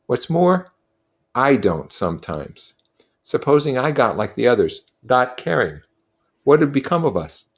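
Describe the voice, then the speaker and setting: A worried boy leader from a storybook acted by a man. The pitch sits well above a man's talk, and the delivery is earnest, urgent and pleading. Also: very animated, unhurried. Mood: frustrated.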